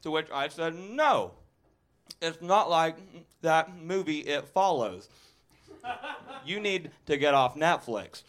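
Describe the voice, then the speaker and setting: Young man with a stutter, high lively voice. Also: slow pace, long pauses. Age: 20s. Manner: stutters and laughs at his own jokes. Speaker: stand-up comedian. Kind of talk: stand-up set. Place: live comedy stage.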